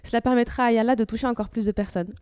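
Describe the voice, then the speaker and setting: A woman's voice: on the high side, steady tone, racing, darkish tone, clear, resonant tone. A woman hosting an interview podcast, introducing the show and talking conversationally with her guest. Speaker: podcast host.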